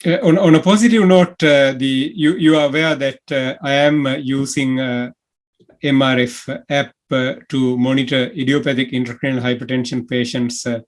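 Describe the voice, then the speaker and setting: Halting man from Sri Lanka. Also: mid-range voice, steady tone. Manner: halting, with many uh fillers. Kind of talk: conversational interview.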